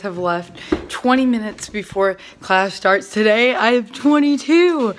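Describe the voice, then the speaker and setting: A woman's voice: medium pitch, a little hiss or hum. A teenage girl vlogging casually, rambling with lots of filler words, filmed on a phone at arm's length at home and in a car. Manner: chatty, rambling with many "like" fillers. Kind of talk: casual vlog chat.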